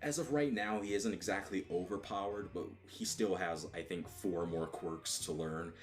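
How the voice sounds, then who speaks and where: A man giving a casual solo video review, talking in a mostly flat, monotone way and restarting his sentences often. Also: low voice. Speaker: manga reviewer.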